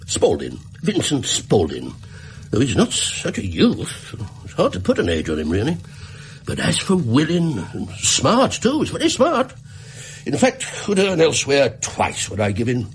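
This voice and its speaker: An actor playing a fussy, gullible middle-aged pawnbroker in a radio drama, rambling and deferential. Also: male voice, mid-range voice, huge pitch swings, measured pace. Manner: rambling, hesitant, chuckling, deferential. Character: fussy, garrulous, gullible widowed London pawnbroker. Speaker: client telling his story. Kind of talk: British radio drama acting.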